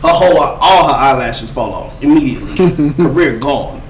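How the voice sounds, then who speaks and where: A man's voice: medium pitch, animated, normal speed. A man co-hosting a podcast, talking casually in a loose, joking, profane way. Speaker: podcast co-host. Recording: roomy, distant mic, faint background hum.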